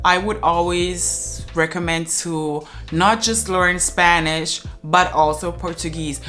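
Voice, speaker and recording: A woman's voice: fairly low pitch, a bit bright. A young woman giving earnest, chatty advice to the camera from her living room, with many "uh" fillers. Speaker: language vlogger.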